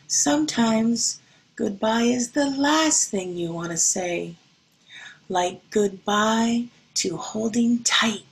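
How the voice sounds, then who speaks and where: Slow, high-voiced woman reading to children. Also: creaky, rough recording. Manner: expressive, deliberate, child-directed delivery. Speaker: schoolteacher reading to pupils. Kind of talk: picture-book read-aloud and talk to children.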